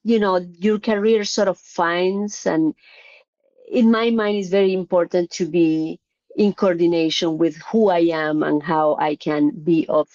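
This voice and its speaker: A middle-aged woman with a Colombian accent answering interview questions, pausing often with fillers and restarts. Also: lowish voice, a little mellow, dull audio. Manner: hesitant, frequent "uh" fillers and restarts. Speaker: surgeon, interview guest.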